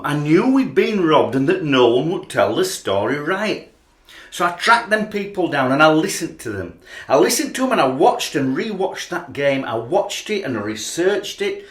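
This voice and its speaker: An actor with a Yorkshire accent playing an ageing, obsessed rugby league fan in a radio play, confessional and tormented by doubt. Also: male voice, fairly high pitch, fairly bright tone. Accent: Yorkshire English. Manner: anguished, confessional, searching. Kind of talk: radio play acting, emotional monologue and dialogue. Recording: roomy, echoing voice recording.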